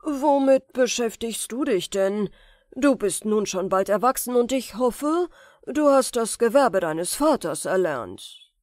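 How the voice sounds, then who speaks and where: A woman's voice: on the high side, expressive, a little mellow, no room sound, studio quality. A woman playing a syrupy, scheming sorcerer uncle. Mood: coaxing, generous. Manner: ingratiating, drawn-out sentimental delivery. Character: scheming male sorcerer posing as a tender, generous uncle.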